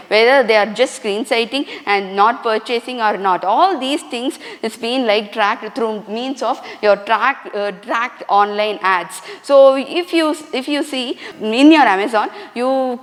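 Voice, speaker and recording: A woman lecturer giving a classroom lecture, halting often with restarts and fillers. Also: on the high side, in a large hall.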